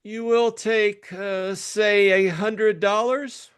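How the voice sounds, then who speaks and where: A man's voice: sits high, steady tone, takes it slowly, clean tone, voice pushed up. A man voicing a suave, effete male schemer from a crime novel, with formal, mannered, evasive politeness. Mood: suave, polite.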